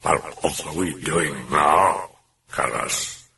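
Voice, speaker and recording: A menacing demon drags every word out in an extremely deep, gravelly growl. It sounds set back from the mic, with clear noise behind it. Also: male voice, steady tone, distant mic, heavily acted. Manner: slow, low, menacing drawl.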